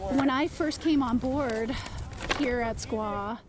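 A woman's voice: on the high side, slow, noisy background. A woman ski patroller speaking enthusiastically in an outdoor interview on the slopes. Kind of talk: field interview.

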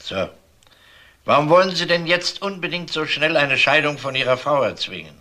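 An actor playing a methodical, world-weary police detective in a crime drama, questioning in a measured, insistent way. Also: male voice, on the high side, expressive, sharp tone. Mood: probing. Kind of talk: German TV crime drama dialogue.

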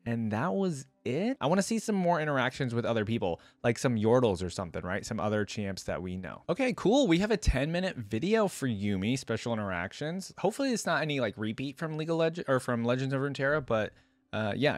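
A man's voice: medium pitch, big pitch swings, fast talker, dry recording. A young man giving casual, off-the-cuff reaction commentary as a video host. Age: young adult.

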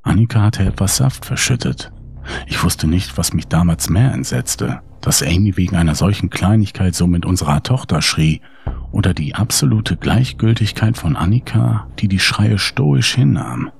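A man's voice: low-pitched, animated, moderate pace, creaky, breathy edge. A man reading a scripted first-person horror story in an audiobook style.

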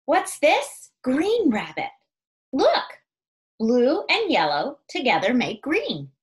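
An excitable little storybook rabbit, played by a woman reading aloud. The voice jumps high and swoops around, full of exaggerated, curious wonder for small children. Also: slow pace, exaggerated voice. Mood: excited wonder.

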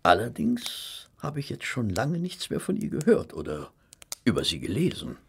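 An actor playing a polite, hesitant gentleman, a detective's genial companion, husky and gravelly, in a German radio play. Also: mid-range voice, huge pitch swings, normal speed, full character voice. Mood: polite regret.

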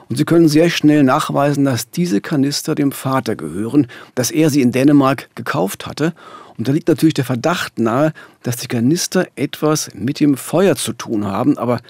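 A man's voice: mid-range voice, a little mellow, slightly rough, dry recording. A middle-aged man narrating a true-crime podcast as co-host at a studio microphone. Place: small radio studio. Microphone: studio microphone, headphones.

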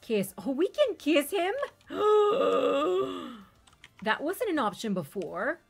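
A woman streamer whose first language is not English, chatting excitedly with raiders and telling stories quickly. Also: sits high, gravelly, faint music behind. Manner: excitable, bubbly, quick storytelling. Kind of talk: casual stream chat.

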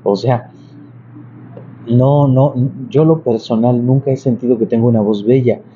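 A man, giving an informal video lesson in a chatty, halting way that often wanders into asides. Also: mid-range voice. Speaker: church organist and psalm-singing teacher. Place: large bright interior beside an organ. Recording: muffled sound with clear background noise.

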